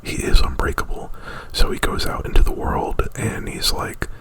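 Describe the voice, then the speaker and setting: A man's voice: moderate pace, poor audio. A man doing casual ASMR, whispering breathily right up at the microphone in a rambling, informal retelling. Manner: rambling, informal, with frequent "like" and profanity. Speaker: ASMR performer. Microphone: very close to the microphone.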